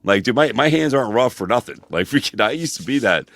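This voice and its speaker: Male podcast co-host, rambling and casual, quick delivery, dry studio sound. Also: medium pitch, lively intonation, fairly bright tone, dry recording. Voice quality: fast, rambling, uses "freaking" and "bro" a lot. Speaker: podcast co-host, personal trainer.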